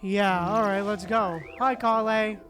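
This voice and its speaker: A woman's voice: mid-range voice, steady tone, unhurried, music in the background. A woman streaming a video game, reacting casually and reading dialogue aloud.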